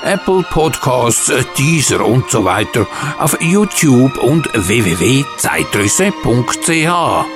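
Male host, scripted narration, formal ornate phrasing, varied pitch, music backing. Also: big pitch swings, slow pace. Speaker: narrator and host of audio drama show.

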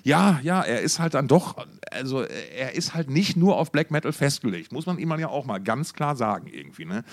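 A man's voice: mid-range voice, sing-song, moderate pace. Casual, wry man telling podcast anecdotes. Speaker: podcast co-host.

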